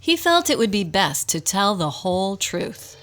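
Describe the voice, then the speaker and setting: A woman narrating a scripted radio drama in an expressive storybook style. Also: mid-range voice, unhurried, music in the background.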